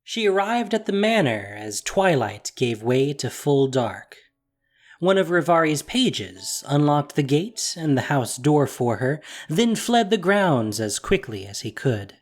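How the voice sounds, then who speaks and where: A man narrating a fantasy audio drama with expressive storytelling. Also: on the high side, unhurried, soft background music.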